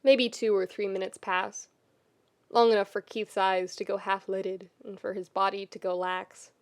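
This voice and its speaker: A woman reading a scripted story as a podfic narrator, with expressive, intimate storytelling. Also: mid-range voice, moderate pace.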